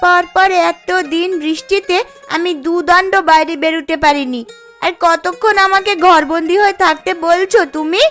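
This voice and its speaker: Woman voicing a high, whiny little village girl. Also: moderate pace, a bit bright, soft background music. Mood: indignant protest. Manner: pleading, argumentative. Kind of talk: cartoon voice acting.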